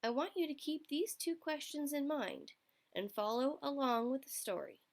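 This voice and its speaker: A woman's voice: sits high, expressive, moderate pace. A woman storyteller reading a picture book aloud to young children, expressively, addressing her listeners directly.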